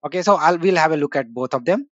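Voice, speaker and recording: Filler-heavy man walking through software. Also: a little high, lively intonation, fast, no room sound, highs cut off. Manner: casual, frequent "uh" and "you know" fillers. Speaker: tech tutorial host. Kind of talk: screen-share software walkthrough.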